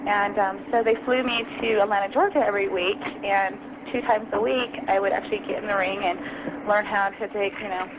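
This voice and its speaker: A woman's voice: medium pitch, fairly even intonation, a little fast. A young adult woman telling her story as an interview guest over the phone, casual and full of ums and you-knows. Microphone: phone call. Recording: lo-fi phone line, noisy.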